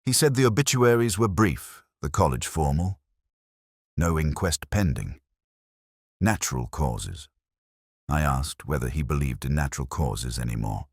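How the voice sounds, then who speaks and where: A man narrating a scripted first-person detective story in a measured, literary, reflective manner. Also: rumbling low, animated, slightly rough, no echo, clean studio sound.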